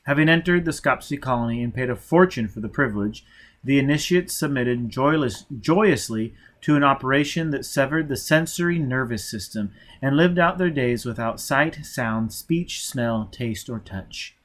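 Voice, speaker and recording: A man's voice: medium pitch, expressive, moderate pace, a bit bright. A man reading a novel aloud as dramatic scripted narration. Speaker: audiobook reader.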